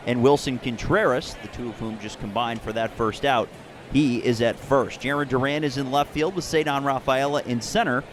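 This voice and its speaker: Clear ambient noise sits behind a man doing live baseball radio play-by-play. His voice is medium in pitch, and he speaks in measured, neatly phrased broadcast style, moving between game commentary and sponsor reads.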